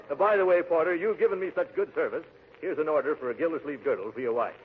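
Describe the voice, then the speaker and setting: A man's voice: a little high, quick pace, lightly acted. A man playing a pompous, hearty middle-aged uncle, jovial but easily irked, in 1940s radio comedy. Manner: pompous, hearty, chuckling delivery. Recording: tinny, hissy 1940s radio recording.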